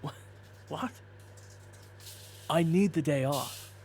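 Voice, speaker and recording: A man's voice: a little high, takes it slowly, darkish tone, slight background noise. A man playing a casual, stubborn employee in an audio roleplay, hesitant and mumbly.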